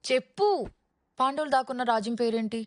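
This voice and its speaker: An actress playing a timid but sharp young working woman in naturalistic film dialogue. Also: high-pitched, fast talker, dry recording, close to an everyday voice. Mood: playful. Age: young adult.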